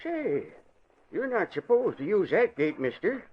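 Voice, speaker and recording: A man's voice: sits high, sing-song, a little slow, breathy edge, exaggerated voice. An actor playing a simple, meek older man, an asylum inmate who tends dogs, talking in a folksy, deferential way in 1940s radio style. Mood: meek, chatty. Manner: folksy, deferential, chuckling rustic talk. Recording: dull old radio recording.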